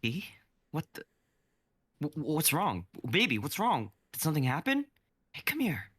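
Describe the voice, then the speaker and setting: A man's voice: a little high, wildly swooping pitch, normal speed, a bit bright, husky, no echo, heavily acted. Man reading as a flustered, pleading husband. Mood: pleading, defensive. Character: defensive, pleading young husband. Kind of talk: live-streamed script reading.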